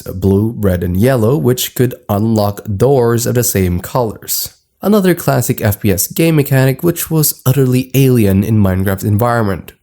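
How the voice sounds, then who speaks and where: A man reading scripted explainer narration in a measured, formal documentary style. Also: mid-range voice, vocal fry, mellow. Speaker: video narrator.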